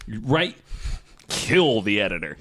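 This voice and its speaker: A man with a fairly low voice hosts a casual podcast. He talks through stories informally, in loose conversational language with casual swearing. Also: very animated, slow pace, a bit bright, rough recording. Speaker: podcast host. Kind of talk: casual storytelling podcast talk.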